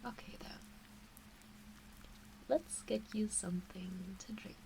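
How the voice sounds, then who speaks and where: A woman voicing a teasing, doting kitsune witch in an intimate ASMR roleplay, with a soft breathy voice that often slips into whispers and coaxing baby-talk. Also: mid-range voice, takes it slowly, a little hiss or hum, low-quality recording. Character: teasing, doting kitsune fox witch fussing over her pet. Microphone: close ASMR microphone.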